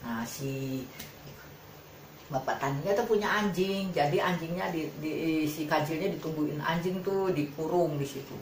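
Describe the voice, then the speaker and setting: A woman's voice: low voice, moderate pace. A woman telling a children's tale informally, in a colloquial and repetitive way. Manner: colloquial, repetitive, with sound effects. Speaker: storyteller. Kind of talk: informal improvised children's storytelling. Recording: roomy echo with faint background noise.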